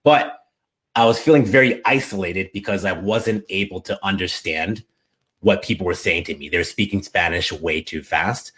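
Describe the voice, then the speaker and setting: A man's voice: low voice, normal speed. A man being interviewed as a guest, telling stories in a casual, enthusiastic way. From United States. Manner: animated, enthusiastic storyteller. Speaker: interview guest, language coach.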